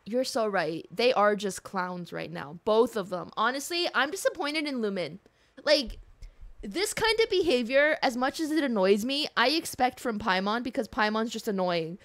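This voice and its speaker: A woman's voice: on the high side, expressive, moderate pace, a bit bright. A woman streamer giving casual, slangy and emotive live commentary on a game. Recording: game music behind voice. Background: game music.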